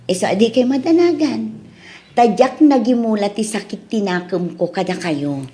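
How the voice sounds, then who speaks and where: A woman's voice: a little high, lively intonation, moderate pace, dark tone, natural voice. A woman with an Ilocano accent acting a hurt but resolute, forgiving character in a radio drama. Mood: resolute, earnest.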